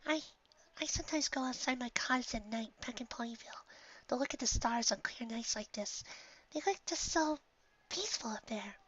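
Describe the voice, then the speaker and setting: A storyteller voicing a shy, gentle young pegasus mare, soft, breathy and hesitant, with nervous stammers. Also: medium pitch, expressive, normal speed, lo-fi sound, voice pushed up. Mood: shy, tender.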